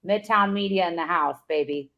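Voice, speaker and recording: Woman, podcast co-host, upbeat shout-outs, lowish voice, muffled recording. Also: moderate pace. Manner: upbeat, gives shout-outs and sign-offs. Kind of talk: casual sports podcast chat. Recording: dull lo-fi sound.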